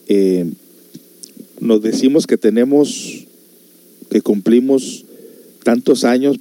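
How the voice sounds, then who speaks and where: Dark, mellow-voiced man musing. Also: fairly low pitch, dry recording. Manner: musing, conversational, with rhetorical questions. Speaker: host of a music and reflection show. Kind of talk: casual monologue to listeners.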